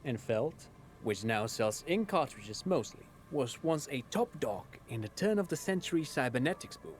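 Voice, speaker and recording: A man's voice: mid-range voice, very animated, moderate pace, slight background noise, lightly acted. An actor playing a chatty father in a video game who lectures on local history in a professorial cadence. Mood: lecturing. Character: chatty adult father, trivia-loving local history buff.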